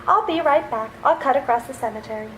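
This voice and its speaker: A woman's voice: high voice, fast talker, lightly acted. A woman playing an affectionate, inquisitive young sweetheart in a radio play. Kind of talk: old-time radio play acting. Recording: slight background noise.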